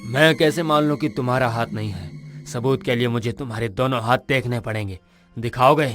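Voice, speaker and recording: Horror drama ghost played by a man: mid-pitched, vengeful, menacing, taunting executioner over music. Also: lightly acted. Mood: mocking, menacing. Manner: menacing, taunting, drawn-out threats. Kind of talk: Hindi horror audio drama acting.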